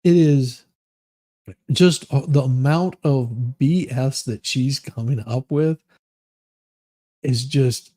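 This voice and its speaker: A man's voice: medium pitch, slow, pauses often, dark tone, dry recording. Casual man hosting a comic live stream.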